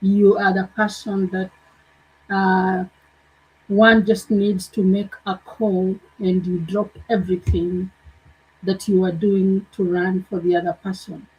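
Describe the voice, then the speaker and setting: A woman's voice: mid-range voice, little pitch movement, slow pace, slightly rough, muffled recording. A woman hosting a conversation, asking thoughtful questions and affirming her guest. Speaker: podcast host. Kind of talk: interview conversation.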